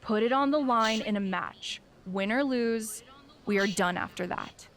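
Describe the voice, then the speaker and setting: An actress playing a smug, scheming wrestler in a video game, with an American accent and sarcastic mock sincerity. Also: female voice, medium pitch, measured pace, natural voice. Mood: smug, demanding. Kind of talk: video game cutscene acting, wrestling promo.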